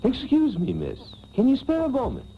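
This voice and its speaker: Pleading baker type, radio melodrama spoof, pitch all over, slightly noisy tape. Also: male voice, wildly swooping pitch, moderate pace, full character voice. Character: slick, pleading working man, a baker. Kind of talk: melodramatic comic radio soap acting. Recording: muffled taped radio with hum.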